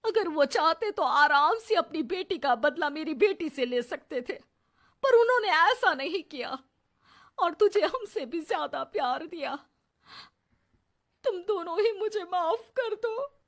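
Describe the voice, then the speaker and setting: A woman voicing a domineering, sharp-tongued older mother-in-law in a cartoon family story. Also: very high voice, animated, measured pace, heavily acted. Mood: remorseful. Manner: sharp-tongued, bossy scolding.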